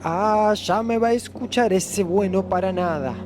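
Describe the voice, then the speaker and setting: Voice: medium pitch, unhurried, background noise, lightly acted. A performer voicing a grumbling, irritable male composer in a theatrical comedic audio drama. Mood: irritated. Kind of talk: amateur comedic audio drama monologue and dialogue. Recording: voice over music bed.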